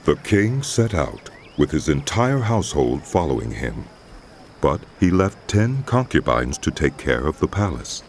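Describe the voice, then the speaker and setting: A man reading scripted narration for a dramatized scripture recording, with a deep, gravelly voice. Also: sing-song, measured pace, slight background noise.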